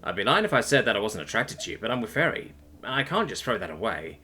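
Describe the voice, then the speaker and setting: Male narrator as young male soldier in a novel: gentle, concerned, measured, bright but husky. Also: lowish voice, sing-song, exaggerated voice. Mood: sincere. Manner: soothing, measured.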